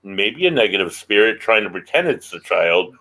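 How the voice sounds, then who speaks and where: A man co-hosting a paranormal podcast, recounting an investigation earnestly with halting restarts. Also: fairly low pitch, big pitch swings, pushed and bright. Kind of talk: casual chat.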